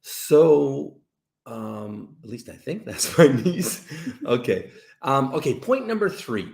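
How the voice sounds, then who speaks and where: A man with a gravelly edge and an animated, widely swinging pitch hosts a casual livestream. He explains haltingly and emphatically, often repeating himself to drive a point home. The sound is roomy and echoey. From Canada. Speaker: host of a live dating-advice show. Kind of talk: casual livestream chat with viewers.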